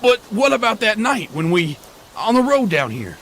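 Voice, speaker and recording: A man's voice: high voice, very animated, moderate pace, fairly bright tone, heavily acted. A man playing a protective, persistent survivor in a dramatic comic dub. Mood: pleading. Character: protective, persistent male survivor consoling a friend's wife. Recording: faint rain and background noise.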